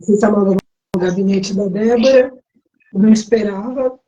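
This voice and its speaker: Hoarse woman guest, mellow low-ish voice, kinda muffled dull audio, halting. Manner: halting, brief answers. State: hoarse, losing her voice. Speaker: interview guest, activist. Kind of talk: casual conversational interview. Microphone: remote call over a live stream.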